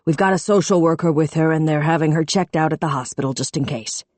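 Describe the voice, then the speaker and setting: A woman voicing a stern, curt middle-aged male police chief in an audiobook, her own low voice barely changed. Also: quick pace, darkish tone, no echo. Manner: curt, authoritative.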